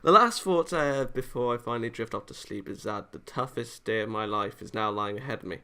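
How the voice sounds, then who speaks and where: A man's voice: medium pitch, lively intonation, brisk, bright, forceful tone, clear, resonant tone. A man casually reading a visual novel aloud, dropping wry interjections between the lines. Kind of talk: casual read-aloud commentary. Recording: music behind the voice. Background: game music.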